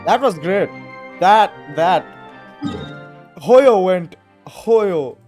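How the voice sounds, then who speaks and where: Slow-talking man reacting to a game. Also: high voice, lively intonation, a little mellow. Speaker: gamer reacting to a game story. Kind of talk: casual gameplay reaction commentary. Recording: game music behind the commentary.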